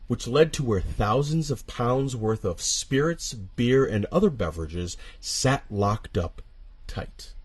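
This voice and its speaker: A man with a husky voice narrating a first-person horror story, dramatic and suspenseful, with deliberate pauses. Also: on the low side, darkish tone.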